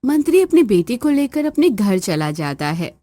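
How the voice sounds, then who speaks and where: A woman's voice: a little high, sing-song, moderate pace, warm tone, no room sound. A woman narrating a scripted cartoon story.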